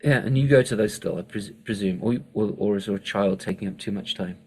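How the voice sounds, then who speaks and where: A man hosting an interview, enthusiastic and quick with praise, asking rambling questions. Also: lowish voice, darkish tone, husky. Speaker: podcast host. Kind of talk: casual interview chat.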